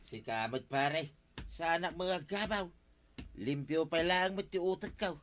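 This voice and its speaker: A man's voice: on the high side, measured pace, a bit bright, a little hiss or hum. An actor with an Ilocano accent playing a cheeky, stubborn old village man in a comedy radio drama.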